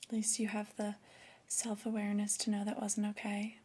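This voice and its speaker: A woman playing a displeased but calm female boss in a soft-spoken ASMR roleplay, with a breathy voice and measured, pausing delivery. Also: medium pitch, natural voice. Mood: stern, measured. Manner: hesitant, measured, with drawn-out pauses.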